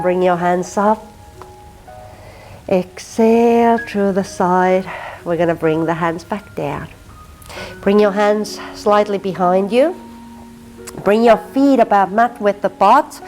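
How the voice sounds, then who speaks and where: A woman from Finland with a Finnish accent, teaching a gentle yin yoga class and softly cueing breath and movement. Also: medium pitch, poor audio. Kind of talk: guided yin yoga instruction.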